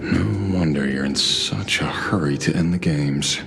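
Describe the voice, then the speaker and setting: A man's voice: very deep voice, steady tone, measured pace, gravelly, over loud music, full character voice. A man acting a sardonic, dogged hard-boiled detective in a video game, with wry, theatrical delivery. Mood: wry, pensive.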